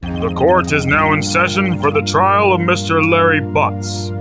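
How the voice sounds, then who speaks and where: A man playing a stern but patient judge in a video game fandub, speaking in a measured, authoritative way. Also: fairly high pitch, close to an everyday voice. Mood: grave. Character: stern, formal, patient male courtroom judge. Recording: loud music behind the voice.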